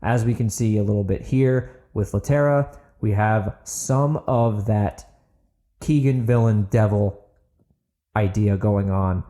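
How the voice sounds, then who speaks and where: A man's voice: lowish voice, slow pace, dark tone. A man hosting a fiction podcast, reading his own novel dramatically and chatting to listeners with enthusiasm. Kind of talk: dramatic audiobook narration and casual podcast outro.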